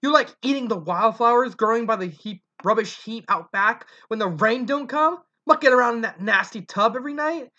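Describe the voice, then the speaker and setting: A reader voicing a harsh, desperate mother pony who shouts and scolds her son, then apologizes. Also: mid-range voice, moderate pace, lightly acted. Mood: shouting. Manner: loud, scolding, pleading. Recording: dull lo-fi recording.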